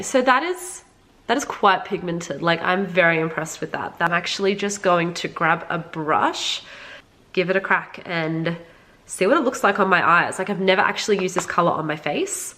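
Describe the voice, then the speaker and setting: A woman's voice: on the low side, a bit bright. A woman with a congested, slightly nasal voice from being sick, giving a casual, chatty beauty review straight to her viewers. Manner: informal, frequent "like", addressing viewers directly. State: sick with a cold. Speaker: beauty vlogger reviewing makeup.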